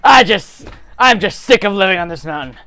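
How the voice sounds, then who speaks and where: A performer doing a loud, raspy Goron warrior. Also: lively intonation, normal speed, no room sound. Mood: aggrieved. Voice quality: deep raspy put-on voice. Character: Ragnold, fierce, aggrieved Goron rock-creature warrior.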